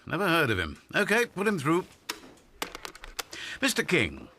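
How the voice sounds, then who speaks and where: An actor playing a mild, flustered gentleman in a radio drama, hesitant and understated. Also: a little high, wildly swooping pitch, unhurried, a little hiss or hum, full character voice. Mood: wry, hesitant.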